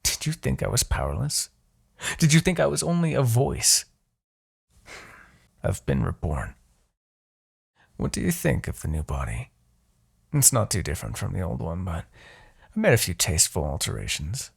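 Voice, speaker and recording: A man playing a proud, vengeful demon king in a fantasy roleplay, speaking with a gravelly, husky voice and a theatrical, deliberate, imperious manner. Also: low voice, huge pitch swings, full character voice. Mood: triumphant menace. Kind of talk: fantasy ASMR roleplay monologue.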